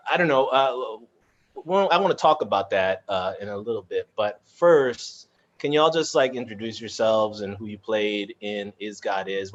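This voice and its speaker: A low-voiced man moderates an informal online chat. He speaks haltingly, searching for his words, and the video-call audio is dull and muffled. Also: a bit bright. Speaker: moderator and cast member. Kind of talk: casual live panel moderation.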